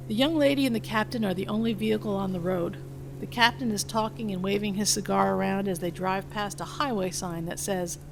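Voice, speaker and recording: A woman's voice: medium pitch, vocal fry. Woman narrating a script, faint noise. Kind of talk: scripted narration, reading stage directions.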